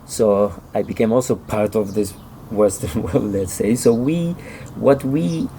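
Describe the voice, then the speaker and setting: A middle-aged man with a Kurdish accent, a native Kurdish speaker, giving halting, conversational interview answers as he searches for words. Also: fairly low pitch, dark tone, a little hiss or hum, rough recording. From Iraqi Kurdistan. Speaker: author and publisher, interview guest.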